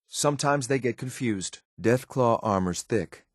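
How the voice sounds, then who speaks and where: A man's voice: mid-range voice, expressive, measured pace, no echo, studio quality. A man voicing a stern, dutiful synth soldier companion in a video game, speaking in a clipped, matter-of-fact military way with some disdain. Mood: watchful, tactical.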